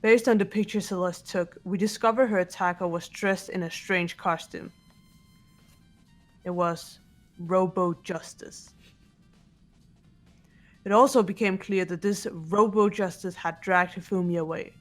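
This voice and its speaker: A woman's voice: fairly low pitch, fairly even intonation, a little slow, long pauses, soft background music, lightly acted. A woman playing a composed, serious teenage girl detective in a mystery video game. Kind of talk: dramatic game dialogue and recap narration.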